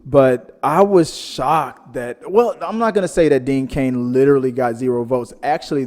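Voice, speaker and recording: A man hosting a casual pop-culture show, telling stories in a rambling, animated way. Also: medium pitch. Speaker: podcast host. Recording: reverberant, echoing room sound.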